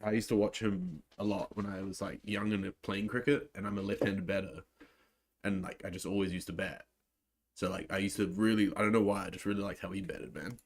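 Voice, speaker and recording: Young male Kiwi streamer, relaxed chatty delivery, slightly low voice, New Zealand accent. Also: fairly quick. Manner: laid-back, chatty, restarts often. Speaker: live stream host. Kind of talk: casual live reaction commentary.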